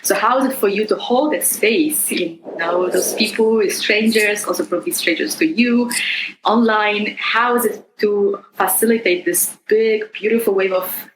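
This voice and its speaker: A woman's voice: medium pitch, a little slow, echoey room, background noise. A woman hosting a podcast, asking long winding questions and speaking effusively in a heart-to-heart chat. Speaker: podcast host.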